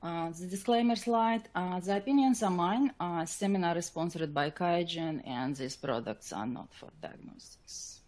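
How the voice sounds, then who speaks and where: A woman scientist presenting a technical slide lecture in a webinar, with a halting, hesitant delivery. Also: fairly low pitch, darkish tone.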